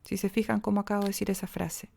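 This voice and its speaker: A Chilean woman teaches diction in a podcast lesson, her voice dark and mellow with a gravelly edge. She shows pauses and tempo shifts as she goes, swinging her pitch and pace to make each example. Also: flat delivery. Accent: Chilean Spanish. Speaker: speech and diction coach.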